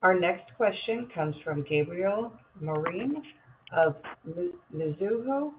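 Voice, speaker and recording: A woman reads scripted operator announcements for a conference call. Her voice is low for a woman. The phone line is narrow with faint noise behind her. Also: lively intonation, takes it slowly. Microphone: telephone.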